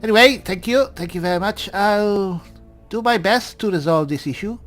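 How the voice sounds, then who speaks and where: A man voicing a polite, bumbling cleric adventurer in in-character gameplay commentary, halting and musing. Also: high voice, fairly bright tone, soft background music. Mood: musing, eager. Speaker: roleplaying game player.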